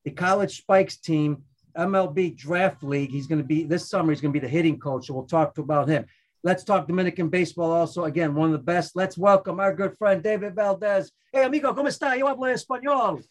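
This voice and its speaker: A man hosts a podcast in a loose, upbeat way. He rambles and restarts his sentences. His voice sits high for a man. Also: a little fast. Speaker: podcast host. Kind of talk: casual chat.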